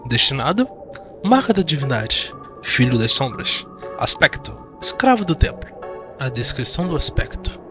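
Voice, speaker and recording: A man's voice: a little high, a bit bright. A man with a Brazilian accent giving dramatic scripted narration of a fantasy audio drama. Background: background music.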